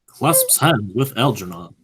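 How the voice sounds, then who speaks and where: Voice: medium pitch, wildly swooping pitch, measured pace, fairly bright tone, slightly rough. A narrator reading scripted stage directions for a radio play.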